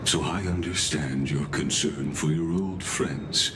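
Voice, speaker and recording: Deep-voiced, courteous scheming scholar. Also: male voice, background noise. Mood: courteous, composed. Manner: formal, deferential, measured, deliberate. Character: courteous, scheming machine-born male scholar, formal and composed. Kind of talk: scripted video game character dialogue.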